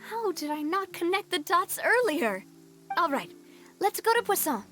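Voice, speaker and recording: A woman's voice: very high-pitched, big pitch swings, normal speed, pushed and bright, soft background music, exaggerated voice. A woman playing a confident, determined young female leader in a video game, speaking in an earnest, articulate way. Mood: bright, determined. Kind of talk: video game character acting.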